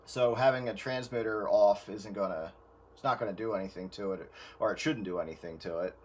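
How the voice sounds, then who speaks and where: Man, fairly low voice, informal explainer in a technical radio chat. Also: fairly quick. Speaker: audio gear hobbyist giving advice.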